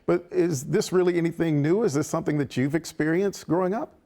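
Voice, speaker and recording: A man's voice: fairly high pitch, brisk, mellow. Polished male TV host. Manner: polished, friendly broadcaster. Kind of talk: TV interview. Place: TV studio.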